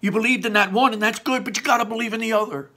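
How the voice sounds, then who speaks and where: A man's voice: fairly high pitch, animated, normal speed, breathy edge, voice pushed up, full character voice. A man reading a story aloud, voicing a boisterous older brother who cheers his sibling on. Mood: urging. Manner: loud, upbeat, pep-talking.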